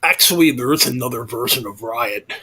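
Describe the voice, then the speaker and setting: A man in his forties with a heavily raspy, husky voice joins a casual chat. His tone is bright and pushy as he eagerly talks up albums he loves. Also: medium pitch, expressive, brisk, poor audio. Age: 40s.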